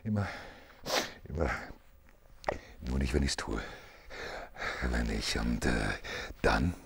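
A man's voice: very deep voice, animated, takes it slowly, husky, pitched below the performer's own voice, heavily acted. A man performing a tormented, hunted man who stammers, pleads and cries out in a dramatic stage monologue.

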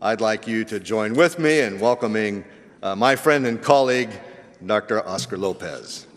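A man giving a measured, formal introduction of a guest speaker at a seminary chapel. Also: lowish voice, lively intonation, background noise. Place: large seminary chapel auditorium. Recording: long hall reverb.

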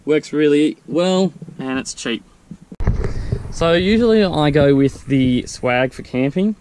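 A man casually walking through his vehicle camping setup, explaining in a relaxed, practical way with fillers. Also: a little high, slow, poor audio. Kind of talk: casual walkthrough vlog. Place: outdoors beside a vehicle in a grassy field. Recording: faint wind noise outdoors.

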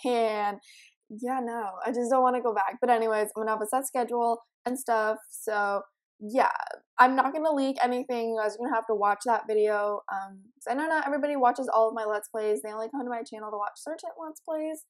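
Teen girl let's player, raspy morning voice, kinda high, rambly with lots of ums. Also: a little fast. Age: teens. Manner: chatty, giggly, rambling with many ums. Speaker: let's play host. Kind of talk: casual gameplay commentary.

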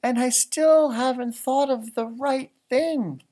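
A man's voice: very high voice, slow, a little mellow, pitched above the performer's own voice, exaggerated voice. A man voicing an anxious, fretful little schoolgirl in a storybook. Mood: tearful worry. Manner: fretful, whiny.

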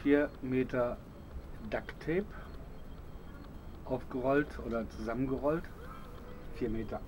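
Guy in his middle years showing his kit outside, slow and umm-y, birds and outdoor noise in the background. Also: mid-range voice. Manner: relaxed, hesitant, many fillers. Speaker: bushcraft video host. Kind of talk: casual show-and-tell. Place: outdoors on a patio by a wall.

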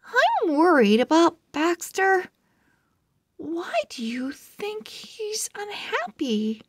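A woman voicing an earnest, fretful young owl schoolgirl from a storybook, speaking slowly and dramatically. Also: very high voice, very animated, no echo, clean studio sound, full character voice. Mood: worried.

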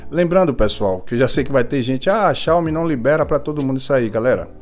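A Brazilian man talks through a hands-on tech review in a casual, informal way. His voice sits at a medium pitch and he often tags his phrases to check in with viewers. Music plays behind him. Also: lively intonation, fast talker, darkish tone.

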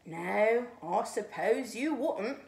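A woman's voice: on the high side, lively intonation, slow, gravelly, full character voice. Woman doing a weary shivering stray cat. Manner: sighing, loud and drawn out. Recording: echoey room, faint ambience.

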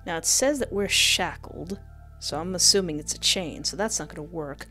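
A playful, expressive woman doing a let's play, reading game text aloud and commenting, close on a desk microphone. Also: lowish voice, moderate pace. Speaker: gaming streamer. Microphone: close desk microphone with headset. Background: game music.

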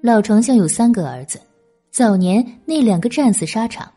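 A woman's voice: a little high, lively intonation, normal speed, warm tone, slightly rough, soft background music. A woman narrating an audiobook novel in a scripted, dramatic storytelling style.

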